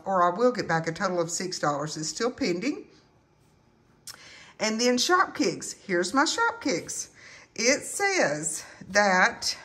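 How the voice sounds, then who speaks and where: A woman chatting casually to viewers as a couponing vlogger, in a halting, rambling way. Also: mid-range voice, fairly bright tone. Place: indoors at home.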